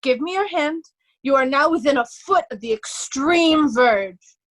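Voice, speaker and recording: A woman's voice: high-pitched, expressive, unhurried, fairly bright tone, dry recording, rough recording. A woman playing a young nobleman in peasant disguise, urgently and vividly guiding, in an amateur Shakespeare reading. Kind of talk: amateur Shakespeare reader's theatre.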